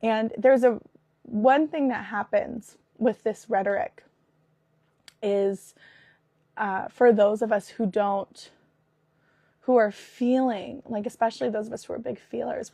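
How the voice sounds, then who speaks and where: Woman host, 30s, raspy from a cold; warm, dark tone, close and dry; earnest, restarts a lot. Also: a little high, unhurried, no echo. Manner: earnest, animated, with frequent fillers and restarts. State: hoarse from a cold. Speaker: podcast host and spiritual business coach. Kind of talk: casual spoken monologue.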